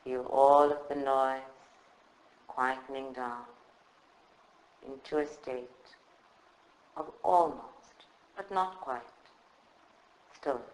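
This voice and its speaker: A man leading a guided meditation in a calm, soothing, measured way with long pauses. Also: mid-range voice. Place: indoor room at a group meditation session. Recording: roomy, echoing live recording.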